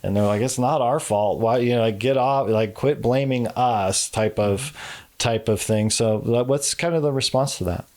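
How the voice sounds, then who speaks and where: A man hosting a podcast interview, talking in a halting way with many fillers and restarts. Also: medium pitch, darkish tone. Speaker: podcast host. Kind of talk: conversational interview.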